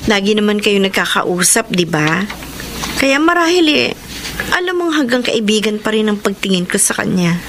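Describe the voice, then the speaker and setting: A woman's voice: medium pitch, very animated, normal speed. An actress playing a humble, wistful young single mother in a Filipino radio drama, with sincere, emotional delivery. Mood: earnest. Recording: noisy radio drama broadcast sound.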